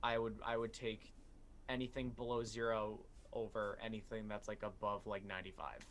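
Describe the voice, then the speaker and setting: A man's voice: on the low side, flat delivery, normal speed, a bit bright, slight background noise. A man in his twenties chatting casually on a livestream, eager and often repeating himself. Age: 20s. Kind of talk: casual group chat.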